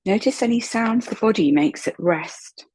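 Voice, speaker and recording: A woman leading a slow guided meditation in a soft, breathy voice, calm and unhurried, with long pauses. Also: mid-range voice. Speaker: guided relaxation meditation instructor.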